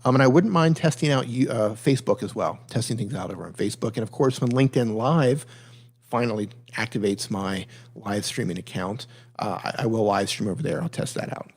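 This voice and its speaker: A man's voice: lowish voice, fairly quick, slightly rough. Casual man answering viewer questions live. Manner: direct, plain-spoken advice with frequent self-corrections; reads viewer questions aloud, then answers conversationally. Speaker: live stream Q&A host.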